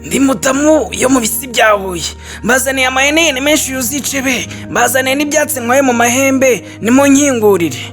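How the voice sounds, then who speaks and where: A man's voice: very high voice, a little fast, a bit bright, faint music behind, voice pushed up. A man voicing a sly hyena who coaxingly poses as a mother goat in a storybook. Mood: falsely sweet. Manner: coaxing, deceitful.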